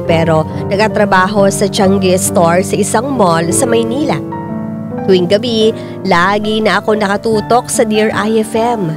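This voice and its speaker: A woman acting out a woman in her mid-thirties from Cavite who confides her own story as a radio drama narrator. She speaks in a measured, confessional tone, and music plays behind her. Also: mid-range voice, natural voice. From Cavite, Philippines. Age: 30s. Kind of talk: scripted narration.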